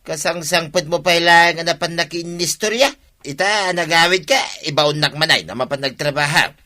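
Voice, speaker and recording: A man's voice: fairly high pitch, normal speed, sharp tone. A man acting in a radio drama as a lazy, idle husband, grumbling and defensive as he whines.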